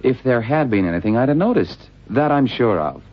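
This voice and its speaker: An actor playing an earnest, plain-spoken detective's sidekick in an old radio crime drama, slangy and matter-of-fact. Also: male voice, mid-range voice, very animated, a little fast. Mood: puzzled, insistent. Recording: dull vintage radio drama recording.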